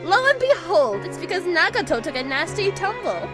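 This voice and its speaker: Breezy, energetic teenage girl, acted by a woman, high and very animated, folksy, audio drama. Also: normal speed. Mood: cheerful. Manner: energetic, folksy, laughs easily. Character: cheerful, breezy, perceptive teenage schoolgirl. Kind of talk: audio drama character acting. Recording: music behind the voice.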